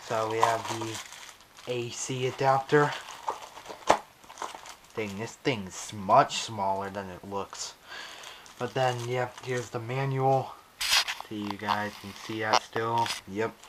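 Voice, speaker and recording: A man casually narrating a hands-on unboxing video in halting, pieced-together phrases, recorded on an action camera. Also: on the low side, fairly even intonation, heavy background noise. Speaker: vlogger unboxing a weather radio. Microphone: action camera on head strap, later monopod.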